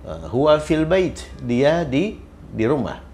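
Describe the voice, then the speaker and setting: A man's voice: a little high, very animated, takes it slowly, darkish tone, a little hiss or hum. A man teaching an Arabic grammar lesson, patiently repeating each example and its translation.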